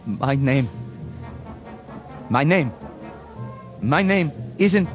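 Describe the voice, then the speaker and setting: Slow, halting, frightened lieutenant. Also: male voice, medium pitch, animated, soft background music. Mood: distressed, desperate. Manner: halting, stammering. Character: confused, frightened army lieutenant, shaken and pleading. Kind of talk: radio drama acting.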